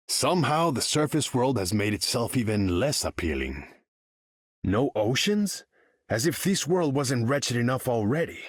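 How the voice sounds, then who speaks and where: Husky, haughty undersea king. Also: mid-range voice, dry recording, studio quality. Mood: disdainful. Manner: pompous, formal, grandiose, speaks of himself in third person. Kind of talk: video game character voice lines.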